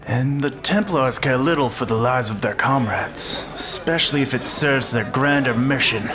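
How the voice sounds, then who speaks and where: Actor as garrison officer, mid-pitched, formal and dutiful, fantasy drama, crowd behind. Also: male voice, normal speed, natural voice. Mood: dutiful, firm. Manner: formal, businesslike. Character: dutiful male garrison officer, plain and businesslike. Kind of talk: fantasy audio drama acting.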